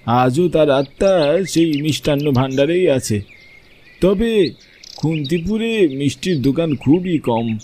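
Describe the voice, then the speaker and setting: A man voicing a kindly, pious village cleric in a cartoon story, reassuring and measured as he talks. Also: a little high, animated, mellow, a little hiss or hum, voice pushed up. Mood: solemn recounting.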